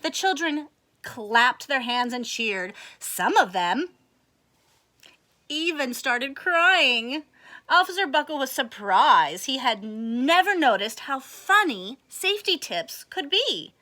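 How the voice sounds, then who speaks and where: A woman reading a picture book aloud to children in a very expressive way, with asides and questions for young listeners. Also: high-pitched, takes it slowly.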